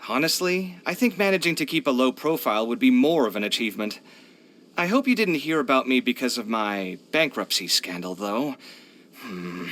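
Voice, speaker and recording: A man acts out a young architect from a video game, proud yet hard on his luck. His voice is bright and forward, at a middle pitch that swings widely as he talks. He is chatty, candid and very expressive. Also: normal speed, close to an everyday voice. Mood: bashful, modest. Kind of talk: video game character voice lines.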